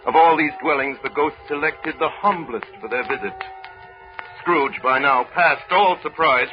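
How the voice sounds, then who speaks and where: A man narrating a story in a dramatic, measured 1930s radio drama style. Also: mid-range voice. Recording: muffled 1930s radio broadcast.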